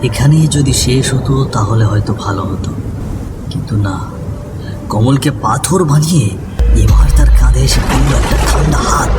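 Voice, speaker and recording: A man narrating a scripted ghost story in a dramatic, suspenseful storytelling manner. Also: medium pitch. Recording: distant voice over noisy ambience.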